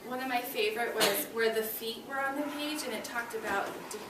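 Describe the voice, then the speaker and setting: A woman with a high voice leads a read-aloud lesson for young children as their schoolteacher. She instructs and prompts them to respond. The recording is distant from the microphone, with clear room noise. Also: a little fast. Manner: instructive, prompting children to repeat after her. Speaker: elementary school teacher. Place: indoor school classroom. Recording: noisy echoey classroom, distant microphone.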